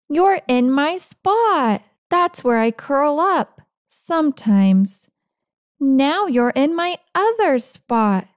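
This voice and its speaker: Woman voicing a grumpy, high-pitched pampered house cat. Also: no echo, clean studio sound. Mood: grumbling, sarcastic. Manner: sarcastic, theatrical complaining. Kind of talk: picture-book read-aloud.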